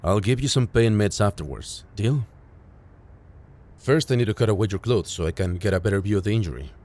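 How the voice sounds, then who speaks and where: A man playing a calm, caring field medic who reassures a patient in an intimate audio roleplay. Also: on the low side, fairly quick, natural voice. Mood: caring, reassuring. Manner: gentle, reassuring, matter-of-fact, addressing a patient directly.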